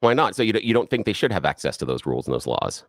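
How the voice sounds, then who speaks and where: Deep-voiced radio host pressing a caller. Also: male voice, sing-song, racing, dry recording, studio quality. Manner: probing, argumentative, leads the caller step by step. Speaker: radio talk show co-host. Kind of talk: debating a caller on talk radio.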